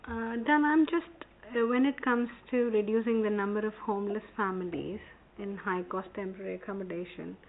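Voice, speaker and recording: A woman with a medium-pitched voice speaks as a councillor at a committee meeting. She sets out a formal question, and the sound is thin and band-limited like a phone line.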